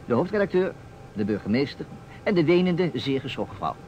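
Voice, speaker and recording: A man's voice: on the high side, brisk, muffled recording. A man acting a playful, argumentative storyteller who steers a detective game in a Dutch radio play. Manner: animated, theatrical. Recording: old radio recording, faint noise.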